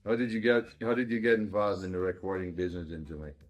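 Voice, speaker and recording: A male interviewer asks calm, direct questions in a low voice. Faint music plays quietly behind him. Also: fast, highs cut off.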